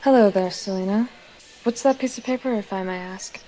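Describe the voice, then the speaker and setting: A woman's voice: medium pitch, fairly quick, dark tone, lightly acted, rough recording. A woman playing a reserved, awkward, self-conscious teenage girl in an audio drama. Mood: curious, dry.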